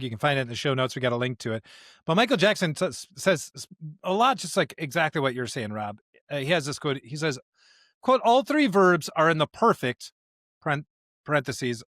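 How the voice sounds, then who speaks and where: A man's voice: on the high side, a bit bright, no room sound, studio quality. A man co-hosting a religious podcast in an earnest, emphatic conversational way, often checking for agreement. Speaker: podcast co-host.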